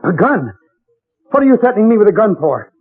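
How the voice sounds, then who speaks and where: A man's voice: high-pitched, very animated, brisk, breathy edge, dry recording, full character voice. A man playing a terrified, blustering businessman in 1940s radio horror, frantic and melodramatic. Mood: alarmed. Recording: tinny old radio recording.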